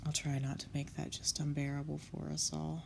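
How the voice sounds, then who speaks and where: A woman's voice: low voice, little pitch movement, a little fast, creaky, darkish tone, slight background noise. A young woman artist chatting casually while she draws, self-critical and swearing under her breath, sniffling from a cold. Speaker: comic artist. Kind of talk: casual narration over a drawing session.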